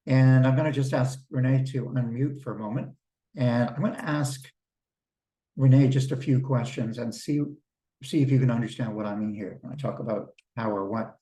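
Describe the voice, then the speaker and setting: A man coaching small business owners on a video call, talking in a relaxed, conversational way and often repeating words as he starts a thought. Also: mid-range voice. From Canada. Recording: roomy sound from a distance.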